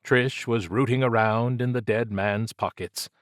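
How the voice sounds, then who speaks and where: A man reading scripted fiction as an audiobook narrator, in a measured, dramatic storytelling manner. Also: mid-range voice, steady tone, no room sound, studio quality.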